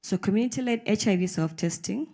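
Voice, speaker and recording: A woman's voice: mid-range voice, quick pace, warm tone. A woman from Uganda, a research assistant formally presenting her study at a conference. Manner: formal, methodical.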